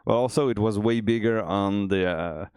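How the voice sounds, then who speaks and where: A man with a cold, his voice extra deep, chatting easygoing and rambling with many restarts while streaming game development. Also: normal speed, a little mellow, clear, resonant tone, dry recording, clean studio sound. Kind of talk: casual chat on a dev stream.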